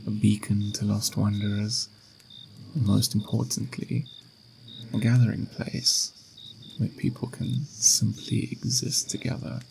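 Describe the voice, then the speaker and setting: A man playing a patient, gentle, mysterious ageless being in an ASMR roleplay, speaking in an unhurried, philosophical, reassuring way with a gravelly, creaky voice. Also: fairly low pitch, little pitch movement, slight background noise, rough recording. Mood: soothing, inviting. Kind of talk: ASMR roleplay, slow intimate monologue.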